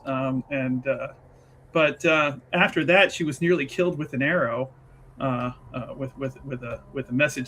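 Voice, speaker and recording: Halting, higher-pitched man with wry delivery. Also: a bit bright, a little hiss or hum. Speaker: player on an actual play show. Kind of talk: casual recap and character introduction.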